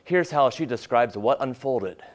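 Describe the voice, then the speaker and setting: A male reporter gives a prepared live news report. His voice sits at a middle pitch. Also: animated, a little slow, heavy background noise.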